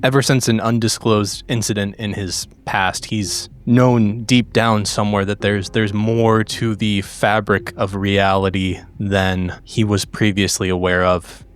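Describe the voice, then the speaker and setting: A man playing a tabletop game, narrating his character in deliberate, dramatic phrases. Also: lowish voice, flat delivery. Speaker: tabletop role-playing player. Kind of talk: literary improvised character narration.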